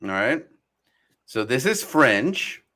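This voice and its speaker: A man's voice: medium pitch, sing-song, slow pace, bright, forceful tone. Laid-back profane man chatting. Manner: loose, profane, laughs easily. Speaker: podcast co-host. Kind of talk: casual chat reacting to anime dubs.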